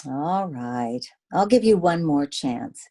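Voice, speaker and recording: A woman's voice: sits low, expressive, slow pace, warm tone, heavily acted. A woman playing a detective's wry, sassy girlfriend in an old-time radio drama, with dry banter. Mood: teasing.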